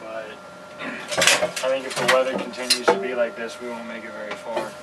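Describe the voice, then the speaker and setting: A man's voice: medium pitch, level tone, brisk. A blunt, fed-up man answering casually as a crew member interviewed inside a small boat. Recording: distant mic, very noisy boat cabin.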